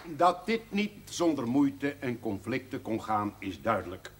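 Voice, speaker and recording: An actor playing a proud older brewery director, giving a formal, solemn jubilee speech with emphatic swells in a period television drama. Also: male voice, mid-range voice, sing-song, unhurried, crowd noise, noisy background.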